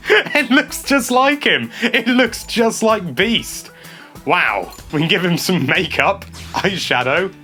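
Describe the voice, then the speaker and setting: A young man hosting a casual gameplay commentary, chatty and excitable, stumbling over his words and laughing a lot. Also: high-pitched, takes it slowly, pauses often, faint music behind. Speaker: games journalist host. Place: small indoor room.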